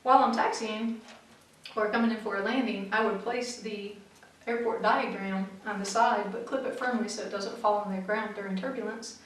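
Calm woman explaining cockpit tips step by step, mic far off, faint clicks behind. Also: mid-range voice, normal speed, slight background noise. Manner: clear, methodical, practical advice. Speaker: flight instructor. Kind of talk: instructional explanation to camera. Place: small studio room in front of a backdrop, seated. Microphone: far camera mic. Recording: very echoey room, mic far off.

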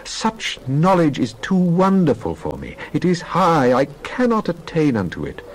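A man giving a dramatized Bible reading in a solemn, measured way. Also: a little high, wildly swooping pitch, poor audio. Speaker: scripture reader.

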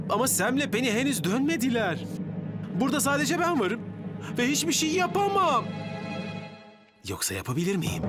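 Goofy earnest young cartoon fireman, highish voice, swoops around, loud music behind. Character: clumsy, earnest, self-doubting young firefighter man. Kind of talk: children's cartoon dub acting.

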